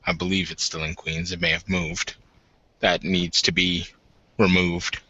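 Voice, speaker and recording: A deep, bright-edged warrior leader played by a man in a tabletop game. He speaks formally and deliberately, stopping to restate himself. The close, dry sound is slightly dulled. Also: flat delivery, dry recording, dull audio, exaggerated voice. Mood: grave. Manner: halting, measured, formal phrasing. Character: gruff werewolf warden, formal, deliberate, battle-minded leader.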